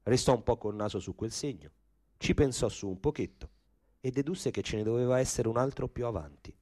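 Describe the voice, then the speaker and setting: An actor playing a wry, earthy Roman storyteller in a theatrical radio piece, with a Roman accent. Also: male voice, medium pitch, fairly quick, warm tone, natural voice. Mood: wry narrating.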